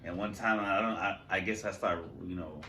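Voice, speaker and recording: A man co-hosting a casual podcast, telling rough stories in a relaxed, swearing way. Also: low voice, moderate pace, a little hiss or hum. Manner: laid-back, swearing storyteller. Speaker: podcast co-host. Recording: echoey room, mic at a distance.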